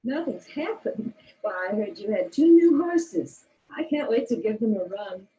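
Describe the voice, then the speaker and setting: A woman's voice: mid-range voice, very animated, takes it slowly, dark tone. An actress playing a carefree, breezy young cowgirl niece in an old western drama. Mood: eager. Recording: muffled old drama soundtrack.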